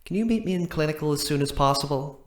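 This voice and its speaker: A man's voice: fairly high pitch, fast, natural voice. A man playing a hesitant, informal henchman in a comic audio drama, drawing out his words. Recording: roomy echo.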